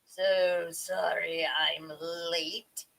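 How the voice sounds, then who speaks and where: A woman's voice: on the high side, sing-song, takes it slowly, pushed and bright, full character voice. A woman voicing a spiteful, menacing wicked fairy in a storybook tale, snarling and barking her words. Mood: sweetly snarling. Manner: snarling, barking, falsely sweet.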